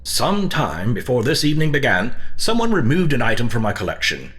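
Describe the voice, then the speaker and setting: An actor playing an imperious, wealthy collector hosting dinner in an audio drama, speaking in a formal, measured, condescending way with cool menace. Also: male voice, mid-range voice, huge pitch swings, a bit bright. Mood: ominous, superior.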